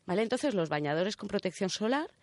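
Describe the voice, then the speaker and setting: A woman's voice: medium pitch, sing-song, brisk, darkish tone, dry recording. A woman with an accent from Spain presenting a segment in casual radio chat, colloquial and often checking in with short tag questions.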